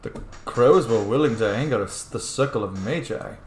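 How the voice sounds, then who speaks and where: A man's voice: medium pitch, expressive, normal speed, reverberant, slight background noise. A man giving casual, informal playthrough commentary while role-playing a video game, reacting and swearing freely.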